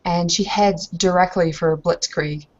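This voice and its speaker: A woman's voice: on the low side, fairly even intonation, measured pace, dull audio. A woman narrating a tabletop roleplaying game as a player, improvising hesitantly as she goes.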